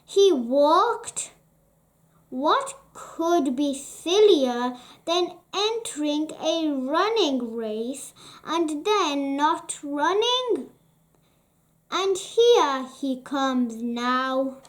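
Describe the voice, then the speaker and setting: A reader narrating a children's bedtime story in a deliberate, pausing storytelling way. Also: sits high, expressive.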